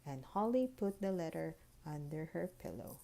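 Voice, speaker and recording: A woman's voice: low-pitched, lively intonation, normal speed, creaky, mellow. A woman reading a children's storybook aloud as narrator. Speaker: school librarian reading aloud. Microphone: phone recording.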